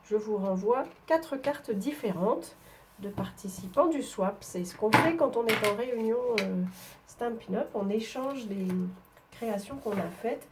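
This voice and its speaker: A woman's voice: fairly high pitch, measured pace, noisy background. A woman from northern France with a head cold, chatting through a papercraft tutorial as she works, with frequent hesitations. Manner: chatty, explains while working, frequent fillers. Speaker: papercraft demonstrator. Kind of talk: craft tutorial.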